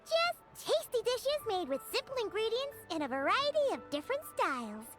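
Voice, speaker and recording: A woman voicing a bubbly, excitable, childlike little fairy guide in a video game, squeaky and gushing. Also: moderate pace. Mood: bright, confiding. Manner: gushing, exclamatory, refers to self in third person. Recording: game music behind the voice.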